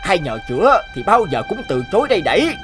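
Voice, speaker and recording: A man's voice: high voice, big pitch swings, fast. A man voicing a jealous, scheming rich young villager in a folk tale cartoon. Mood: sly. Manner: gloating, scheming, sneering laughs. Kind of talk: animated folk tale voice acting. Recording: music bed behind the voice.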